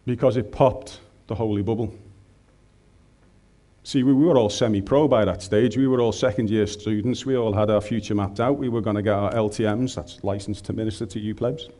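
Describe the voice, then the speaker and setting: A man's voice: lowish voice, steady tone, a little fast, warm tone. A man with a Scouse accent preaching a sermon in a chatty, joking way, speaking into a microphone in a large room. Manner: humorous, conversational, colloquial.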